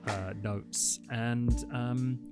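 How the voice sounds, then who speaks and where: A man's voice: mid-range voice, steady tone, very slow, loud music behind the voice. A man playing a tabletop roleplaying game, speaking in character in a sheepish, casual way. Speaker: player.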